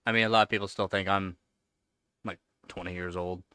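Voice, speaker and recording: A man's voice: low voice, steady tone, brisk, bright, forceful tone, clear, resonant tone, no room sound. Rambling man in his thirties, dry sound. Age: 30s. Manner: rambling, repeats words, many "like" fillers. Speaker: livestream host. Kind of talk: casual live-stream chat answering viewers.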